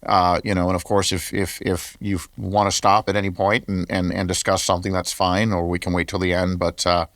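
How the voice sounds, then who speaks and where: An actor playing a courteous author on a late-night radio interview, halting and deferential, repeating his words. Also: sits low, flat delivery, a bit bright, no room sound. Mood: hesitant, courteous.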